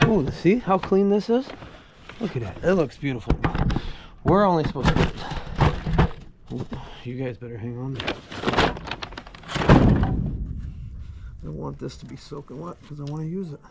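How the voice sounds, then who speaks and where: Male farm vlogger, slightly high voice, folksy off-the-cuff chat, recorded outdoors amid loud engine and vehicle noise. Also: expressive, unhurried, vocal fry, long pauses. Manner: folksy, rambling, thinking aloud. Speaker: farming vlog host. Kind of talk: casual chat to camera while working.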